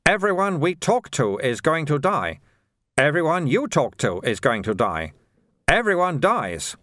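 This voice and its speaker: A man voicing a cheerful, unflappable little monk in an audiobook, with a calm, wry, politely needling manner. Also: fairly high pitch, measured pace, no room sound, clean studio sound, pitched above the performer's own voice. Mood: matter-of-fact. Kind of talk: audiobook character voice.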